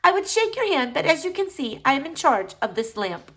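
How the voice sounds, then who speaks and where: Woman voicing a brisk, high-voiced storybook great-aunt. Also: big pitch swings, a bit bright. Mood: brisk, polite. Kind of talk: acted children's storybook dialogue.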